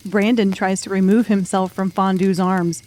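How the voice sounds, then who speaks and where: A woman's voice: mid-range voice, steady tone, normal speed, darkish tone. A woman narrating a dramatic audiobook story from a script.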